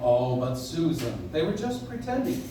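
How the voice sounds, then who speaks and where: A man's voice: mid-range voice, very animated, normal speed, close to an everyday voice. A man playing a kindly, playful old man with a jolly, old-fashioned, encouraging manner in a live radio play. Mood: whimsical, patient. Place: live stage in a coffee house. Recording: noisy, echoey audience recording from far off.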